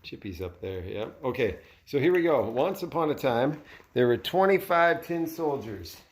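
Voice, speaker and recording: Casting: father-type male storybook reader, mid-pitched, conversational with casual asides to a child, recorded in an echoey room. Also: big pitch swings, moderate pace. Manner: conversational, pointing out pictures to a child. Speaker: father reading a story aloud to his child.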